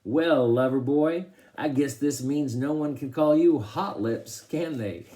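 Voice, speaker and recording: A man's voice: medium pitch, expressive, moderate pace, dark tone, lightly acted. A man voicing an amused father in his thirties, wry and teasing. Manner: wry, mocking.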